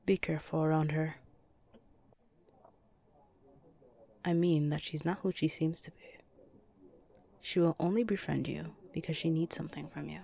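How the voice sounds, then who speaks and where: Woman as a gravelly, stern office enforcer. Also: low-pitched, steady tone, brisk, warm tone. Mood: wary, warning. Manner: curt, commanding, guarded. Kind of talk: audio roleplay acting.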